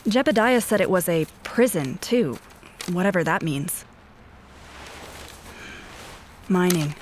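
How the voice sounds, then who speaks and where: An actress playing a guarded, haunted police officer in a scripted audio drama, speaking in terse, brooding, deliberate phrases. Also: female voice, fairly low pitch, lively intonation, slight background noise, natural voice. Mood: pensive, serious. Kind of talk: scripted audio drama acting with first-person narration. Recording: voice over prominent music bed. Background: dramatic music.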